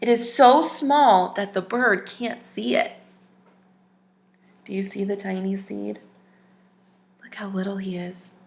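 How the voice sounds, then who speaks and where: A woman teacher reading a picture book aloud to young children, expressive and stopping to ask them questions. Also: mid-range voice, takes it slowly, creaky, long pauses, echoey room.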